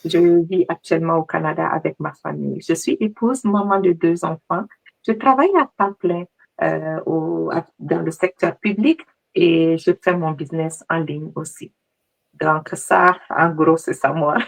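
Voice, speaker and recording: A middle-aged woman from Mali speaks as a guest coach. Her voice is low with a gravelly edge, and she tells her story in a lively, chatty way. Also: a little slow, low-quality recording. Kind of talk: personal interview answers.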